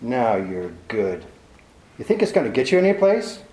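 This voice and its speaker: A man's voice: medium pitch, very animated, normal speed, dark tone, close to an everyday voice. A man playing a cynical, tough private detective in a 1940s-style radio play, speaking with a dry, sardonic bite. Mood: mocking. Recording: echoey room.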